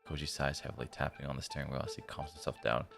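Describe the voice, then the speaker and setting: A man's voice: rumbling low, little pitch movement, very fast, vocal fry, over music. A laid-back, tired man streaming a visual novel, reading it aloud and adding casual, slangy commentary. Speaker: game streamer.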